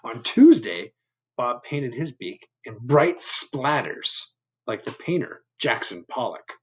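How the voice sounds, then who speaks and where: A man's voice: medium pitch, big pitch swings, slow. A man in his fifties reading a picture book aloud to children, with simple, instructive, conversational asides. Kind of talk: read-aloud with explanatory asides. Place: small room at home.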